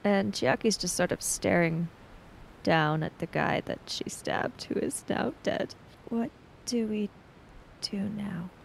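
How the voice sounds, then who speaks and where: A woman's voice: medium pitch, takes it slowly, gravelly, slight background noise, low-quality recording. A woman improvising narration for her character in a tabletop role-playing game, tentative and thoughtful.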